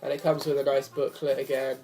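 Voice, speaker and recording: A man's voice: mid-range voice, little pitch movement, a little fast. A young man with a cold talks casually about his records, rambling and apologetic with lots of fillers. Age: young adult. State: hoarse from a cold. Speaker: vinyl collector vlogger. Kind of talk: casual chat to camera. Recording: faint music behind the voice. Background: jazz record playing softly.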